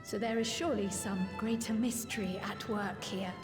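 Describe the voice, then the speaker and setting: A woman's voice: medium pitch, fairly even intonation, normal speed, natural voice. A woman voicing an earnest, determined young scholar-envoy in a video game cutscene, formal, articulate and politely resolute. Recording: long hall reverb with music.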